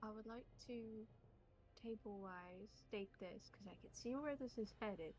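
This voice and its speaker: A woman's voice: mid-range voice, a little slow, darkish tone, low-quality recording. A woman game master narrating a tabletop roleplaying game and talking with the players.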